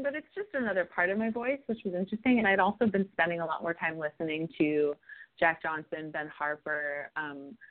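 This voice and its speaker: A woman singer-songwriter from New York City, giving thoughtful, rambling answers in a radio interview. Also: on the low side. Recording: tinny phone-like line.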